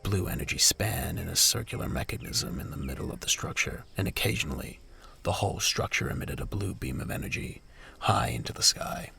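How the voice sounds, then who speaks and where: A man's voice: low voice, a little fast, creaky, sharp tone, faint music behind. A man giving scripted story narration for an audio drama as its narrator.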